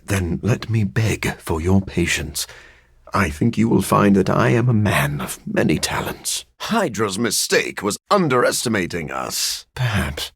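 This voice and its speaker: A brooding living-vampire scientist for a video game. His voice is low and husky, swinging widely in pitch through dry, self-deprecating, formally worded lines. He sounds measured and learned. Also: sing-song, no echo, exaggerated voice. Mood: earnest, measured.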